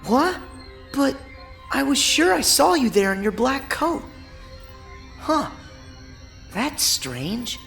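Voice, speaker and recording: A man's voice: extremely high pitch, big pitch swings, slow pace, creaky, pauses often, fairly bright tone, soft background music, full character voice. An actor voicing an earnest, upbeat teenage boy hero in a video game cutscene. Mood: puzzled, resolute.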